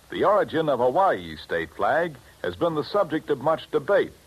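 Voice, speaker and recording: Animated, slightly husky male radio announcer. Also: medium pitch. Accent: American. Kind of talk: 1950s scripted radio announcing. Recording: old radio broadcast, slightly muffled, faint music.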